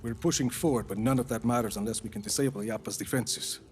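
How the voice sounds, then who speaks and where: A man's voice: mid-range voice, fast talker, a little mellow, a little hiss or hum, natural voice. A man playing a guarded action hero and former agent in a video game, terse, wary and matter-of-fact. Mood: determined. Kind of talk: video game cutscene acting.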